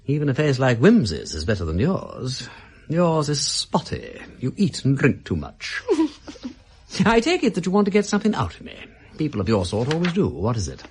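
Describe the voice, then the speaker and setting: Radio drama casting: mocking British gentleman informer, languid and witty, husky, hugely animated intonation. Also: mid-range voice, moderate pace, exaggerated voice. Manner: arch, languid, teasing, flourishing turns of phrase.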